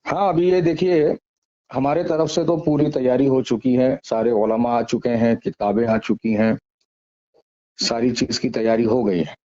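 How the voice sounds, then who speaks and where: A man's voice: mid-range voice, fairly even intonation, a little slow, warm tone. A man speaking politely and in a conciliatory way, negotiating over a phone call. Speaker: head of an Islamic seminary. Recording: dull, lo-fi phone call recording.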